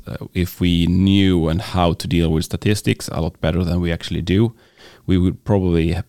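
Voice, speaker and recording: A man's voice: sits low, gravelly, darkish tone, dry recording, studio quality. A man with a Swedish accent, hosting a podcast and talking casually about books, hesitant with many fillers and restarts. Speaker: podcast host. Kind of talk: casual book talk.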